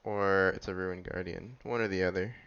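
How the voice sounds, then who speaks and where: A man's voice: low voice, steady tone, normal speed, creaky, a bit bright, muffled recording, a little hiss or hum. A man giving dry, offhand, casual commentary while playing a video game. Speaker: gamer making commentary. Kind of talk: casual let's play commentary.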